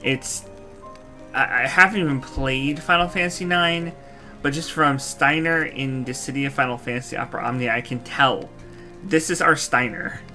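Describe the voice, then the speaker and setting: A man's voice: mid-range voice, moderate pace, pushed and bright. A man chatting casually as he comments on a game live stream. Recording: music behind the voice. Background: game music.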